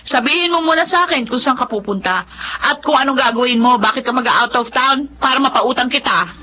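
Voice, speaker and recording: A woman's voice: high-pitched, a little fast, muffled recording, lightly acted. A woman playing a blunt practical older sister. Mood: skeptical. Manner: blunt, skeptical. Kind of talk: radio drama acting.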